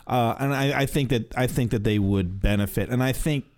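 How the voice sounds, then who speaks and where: A man's voice: medium pitch, normal speed, a little mellow, no room sound, studio quality. A man co-hosting a podcast, giving his opinions in casual conversation. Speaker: podcast co-host.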